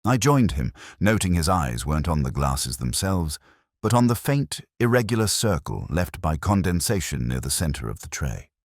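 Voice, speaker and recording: A man narrates a scripted mystery story in the first person. His voice is exceptionally deep, and the recording is dry, with no sense of a room around it. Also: very animated, moderate pace, no echo. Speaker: audiobook narrator.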